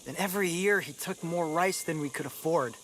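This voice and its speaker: A man's voice: fairly high pitch, a little fast, a bit bright, a little hiss or hum, lightly acted. A man playing a cocky, flirtatious thief with a crusading streak for poor farmers in a video game cutscene, brash and teasing. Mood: indignant. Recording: music behind the voice.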